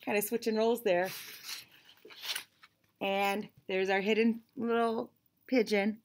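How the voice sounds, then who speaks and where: A woman's voice: medium pitch, flat delivery, slow, a little hiss or hum. A middle-aged woman hosting a picture-book read-aloud, chatting to young listeners. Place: small indoor room with shelves.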